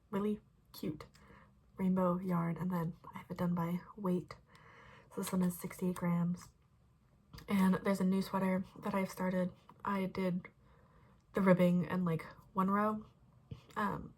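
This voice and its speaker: A young woman chatting casually about her crochet projects, rambling with many fillers and restarts, her voice a little husky. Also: on the low side. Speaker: crochet vlogger. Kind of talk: casual chat.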